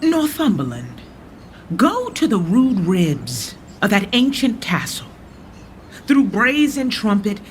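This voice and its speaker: An actor playing a bold, commanding rebel lord, speaking measured, declamatory verse in a Shakespearean radio drama. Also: male voice, high voice, full character voice. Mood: resolute. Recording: faint background noise and ambience.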